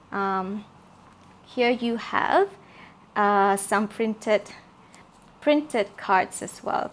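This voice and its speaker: A soft-spoken woman with a gravelly, creaky texture to her voice walks through her craft kits in a casual show-and-tell. She talks at an easy middle pitch, stopping often for fillers and restarts. A faint hiss sits under the recording. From Malaysia. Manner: soft-spoken, many fillers and repeated words. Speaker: craft journal maker showing her kits.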